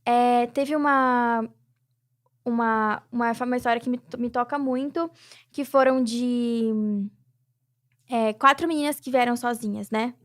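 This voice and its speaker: Young woman, slightly high voice. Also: fairly even intonation, unhurried. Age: young adult. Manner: reflective, many filler tags. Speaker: guest, NGO president. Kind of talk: casual interview talk.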